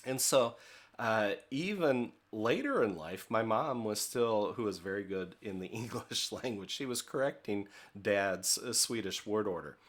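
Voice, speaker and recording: Middle-aged American man, lower register, leadership trainer, chatty and informal, lots of fillers. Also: a bit bright. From United States. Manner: conversational, many fillers, asks "okay?". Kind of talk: recorded training lecture.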